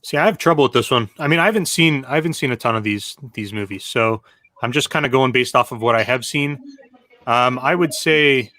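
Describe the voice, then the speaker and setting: Bright, crisp-toned man in casual chat. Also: medium pitch, fairly quick, no room sound. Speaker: co-host of a gaming talk show.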